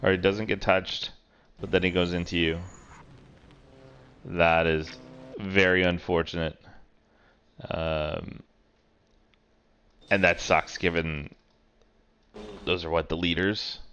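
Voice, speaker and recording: A man's voice: low voice, flat delivery, slow, pauses often, a bit bright, clean tone, highs cut off. A man streaming sim racing, casually talking through a race replay and thinking aloud with many fillers.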